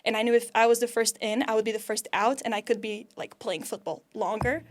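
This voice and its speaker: This is a young woman in her twenties with a Portuguese accent, speaking as a guest on a sports podcast. Her voice sits somewhat high and she talks in a friendly, chatty way. She laughs easily between thoughts. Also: steady tone, a little fast. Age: 20s. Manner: chatty and upbeat, laughs often, many "like" fillers. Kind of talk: casual interview chat.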